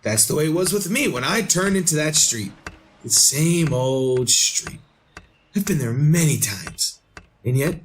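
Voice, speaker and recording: An actor playing an obsessed, bewildered man in a retro radio drama, with halting, melodramatic delivery. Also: on the high side, full character voice. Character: obsessed, bewildered man craving knowledge of the future.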